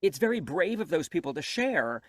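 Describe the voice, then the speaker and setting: A reflective, self-deprecating man speaks as a guest in an interview, and his voice sits a little high. The recording is dry and close to the mic. He picks up pace when he gets animated. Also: moderate pace, no echo. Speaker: audiobook narrator and coach, guest. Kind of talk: candid interview conversation.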